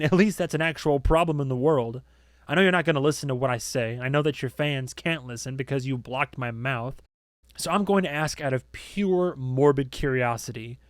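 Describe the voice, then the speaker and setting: A man's voice: fairly high pitch, no room sound, clean studio sound, lightly acted. A man voicing a blunt, sarcastic young woman in an audiobook. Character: wry, exasperated, outspoken young woman. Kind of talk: audiobook character acting.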